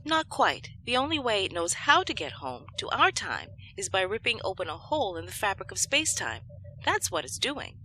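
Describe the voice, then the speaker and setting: Actress as a bright, animated starship scientist. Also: female voice, medium pitch, moderate pace. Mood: urgent. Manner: explanatory, emphatic. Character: brisk female scientist explaining urgent danger. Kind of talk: science fiction audio drama acting.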